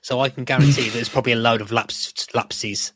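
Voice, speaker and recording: A man's voice: mid-range voice, steady tone, brisk, fairly bright tone, husky, no room sound. A middle-aged man, chatting casually as a podcast co-host in a rambling, self-correcting way. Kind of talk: casual chat about a TV show.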